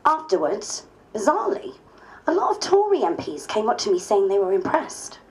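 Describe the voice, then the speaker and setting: A woman's voice: mid-range voice, huge pitch swings, normal speed. A woman playing an earnest, politely stubborn new member of parliament in a dramatised diary reading. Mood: wry, narrating.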